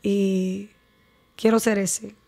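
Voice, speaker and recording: A woman's voice: mid-range voice, level tone, very slow, creaky, dark tone. A young Dominican woman with a Dominican Spanish accent, an evangelist guest giving long, talkative answers in a podcast interview. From Dominican Republic. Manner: talkative, lengthy explanations.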